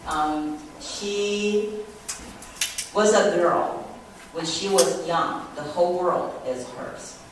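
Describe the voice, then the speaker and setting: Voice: mid-range voice, a bit bright, slight background noise. A middle-aged speaker from Taiwan, a native Mandarin speaker with a Taiwanese accent, giving a personal testimony talk with many fillers and restarts. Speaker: presenter. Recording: echoey room, distant mic.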